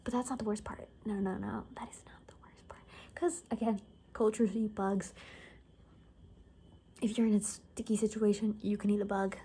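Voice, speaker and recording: Actress as gossipy survivor hairdresser, female, ASMR roleplay. Very soft, warm tone, halting casual delivery, half-whispered, slight noise behind. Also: mid-range voice, little pitch movement, lightly acted. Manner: hushed, often slipping into whisper, casual and halting. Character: gossipy young woman, zombie apocalypse survivor cutting hair. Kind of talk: soft-spoken ASMR roleplay, improvised chatty storytelling.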